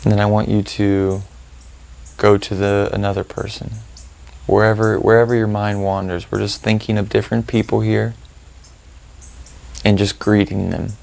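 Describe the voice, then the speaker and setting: Gravelly, low-voiced man guiding meditation. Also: level tone. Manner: gentle, unhurried, informal instruction with pauses. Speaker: meditation guide. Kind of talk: guided meditation.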